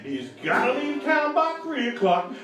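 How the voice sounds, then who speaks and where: A man's voice: very high-pitched, sing-song, measured pace, distant mic, exaggerated voice. Medicine-show troubadour acted by a man, folksy comic singing with plucked guitar, echoing live stage recording. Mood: cheerful pitch.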